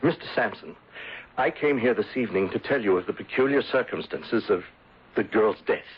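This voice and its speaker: An actor playing an expressive gentleman in a radio drama. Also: lowish voice, moderate pace, breathy edge. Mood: anguished, confessing. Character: expressive English gentleman, emotional and sociable. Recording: dull old radio recording.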